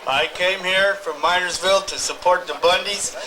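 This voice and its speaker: A man's voice: high-pitched, steady tone, moderate pace, pushed and bright, rough recording. A man telling a folksy joke to a rally crowd. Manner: folksy storytelling.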